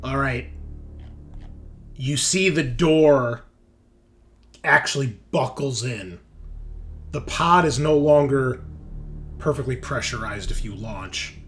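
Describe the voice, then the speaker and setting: A man's voice: medium pitch, slow pace, long pauses, a bit bright. A man running a tabletop roleplaying game, improvising narration and giving rulings in a casual, conversational way. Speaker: game master.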